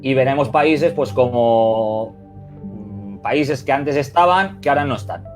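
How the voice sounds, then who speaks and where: A man's voice: medium pitch, measured pace, soft background music. A man with a Spain Spanish accent, a football coach answering interview questions over a video call, reflective and emphatic, with many restarts and "eh" fillers.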